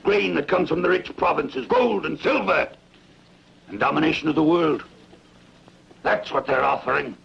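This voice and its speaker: A gravelly, ruthless barbarian warlord. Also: male voice, on the high side, normal speed, pauses often, a bit bright, full character voice. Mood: impatient, scheming. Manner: bellowing, scheming, impatient. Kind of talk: dubbed sword-and-sandal film dialogue. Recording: muffled lo-fi dub with faint noise.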